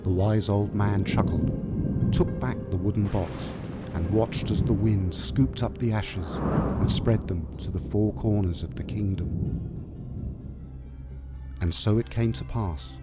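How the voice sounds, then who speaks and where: Male narrator, fairly deep, scripted storytelling, measured, dramatic, clear background music. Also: fairly even intonation.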